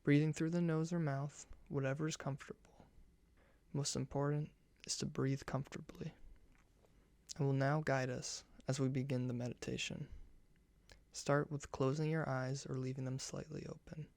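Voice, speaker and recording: A soft, hushed man guiding a meditation in ASMR style, speaking gently in measured short phrases. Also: mid-range voice, flat delivery, vocal fry, no room sound.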